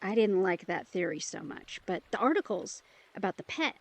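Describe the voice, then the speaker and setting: A woman playing a soft-hearted, pleading young vampire in an audio drama, speaking haltingly and emotionally. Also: medium pitch, sing-song. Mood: subdued, explaining. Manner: halting, emotional, breaks sentences off. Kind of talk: immersive audio drama acting.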